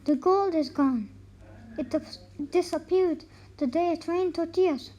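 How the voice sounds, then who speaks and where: A talkative, gullible woodcutter from a children's folktale, voiced by a woman. He speaks slowly in a very high voice. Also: warm tone. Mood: resigned. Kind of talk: storybook read-aloud.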